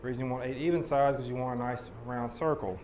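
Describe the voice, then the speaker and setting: A man teaches forging in a hoarse voice that is half gone. His talk is folksy and patient as he works. Loud ringing hammer blows clang behind him. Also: medium pitch, fairly even intonation, quick pace, background noise. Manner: folksy, explains step by step while hammering. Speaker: blacksmithing demonstrator. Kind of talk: live teaching demonstration. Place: blacksmith forge demonstration.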